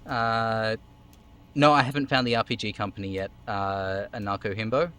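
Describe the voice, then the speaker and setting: A man streaming a role-playing game, reading it aloud in a theatrical, expressive way with casual asides. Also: lowish voice, normal speed, smooth.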